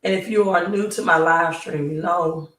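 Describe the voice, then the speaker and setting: A hoarse woman hosting a live stream, talking slowly and pausing often to ask "right?". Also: on the low side, fairly even intonation, fairly bright tone. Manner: slow, halting, repeats "right?". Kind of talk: casual live stream talk. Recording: roomy, distant mic.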